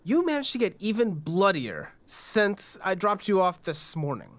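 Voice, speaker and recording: A man's voice: high-pitched, very animated, measured pace, pitched above the performer's own voice. A man voicing a well-off woman in a tabletop game, dry and exasperated but accommodating. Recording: music behind the voice.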